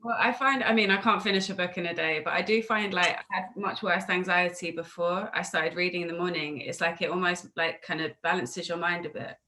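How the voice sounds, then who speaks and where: A woman's voice: fairly low pitch, steady tone, a little fast, hardly any pauses, bright, forceful tone. A woman hosting an interview in a casual, chatty style. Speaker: interviewer, host. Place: remote video call.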